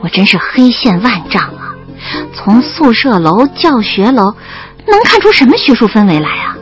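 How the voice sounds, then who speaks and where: Young female student lead, audio drama narrator: wry, playful, lively intonation, lightly high voice. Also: normal speed, faint music behind. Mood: amused exasperation.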